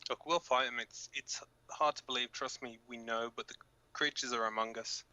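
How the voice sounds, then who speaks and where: A man's voice: medium pitch, steady tone, moderate pace, sharp tone, dry recording, low-quality recording. A man playing a blunt, cynical resistance fighter co-hosting an underground broadcast in a radio drama, speaking informally. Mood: blunt, defiant.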